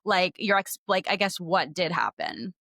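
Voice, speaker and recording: A woman hosting a casual podcast, talking in an excitable, informal, girl-talk way peppered with "like". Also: on the low side, a little slow, gravelly, dry recording, studio quality. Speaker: podcast host. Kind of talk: casual chatty phone-call podcast.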